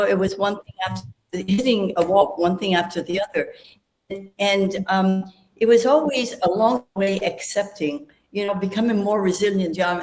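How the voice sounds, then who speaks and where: A woman's voice: medium pitch, darkish tone, low-quality recording. A woman in her eighties, a panel guest talking reflectively about her life, with many fillers and restarts. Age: 80s. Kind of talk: casual panel conversation.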